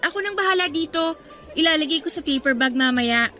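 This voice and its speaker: A woman playing a good-natured, teasing young college student in a radio drama, girlish and expressive. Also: high-pitched, fairly quick, soft background music, some chatter behind. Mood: obliging. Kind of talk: Filipino radio drama acting.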